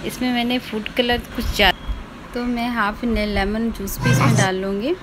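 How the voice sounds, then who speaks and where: A woman in her thirties from India, casually narrating her cooking in a home kitchen, pausing often with fillers as she explains each step. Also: a little high, flat delivery, heavy background noise. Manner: chatty, explains steps with many pauses and fillers. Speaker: vlogger, home cook.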